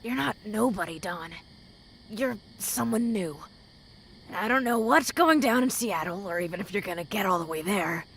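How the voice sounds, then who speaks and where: A heavily creaky, world-weary ghoul woman, played by an actress in a post-apocalyptic audio drama. She is blunt and protective, giving earnest counsel like a seasoned drifter. There is a faint noise behind her. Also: mid-range voice, expressive, moderate pace, fairly bright tone, rough recording. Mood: earnest warning. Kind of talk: fan audio drama acting.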